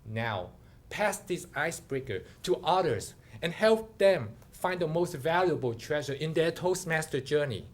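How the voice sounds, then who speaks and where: A wise, kindly old goddess of storybook legend, voiced by a man. The speech is solemn and guiding, unhurried and with expressive pitch. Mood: benevolent, guiding.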